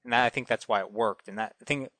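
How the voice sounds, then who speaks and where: A man chatting casually as a podcast co-host in a halting, hedging way. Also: mid-range voice, steady tone, pushed and bright, no room sound. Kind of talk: casual chat.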